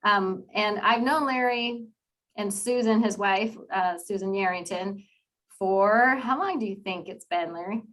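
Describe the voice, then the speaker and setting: A woman's voice: medium pitch, unhurried, fairly bright tone. A woman hosting a casual interview, chatty and encouraging, with frequent fillers. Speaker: interview host.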